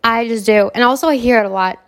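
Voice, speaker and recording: A young woman hosting a casual self-help podcast, hoarse from a cold, talking in a brash, self-assured way and swearing freely. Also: on the high side, fast talker, fairly bright tone. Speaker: podcast host.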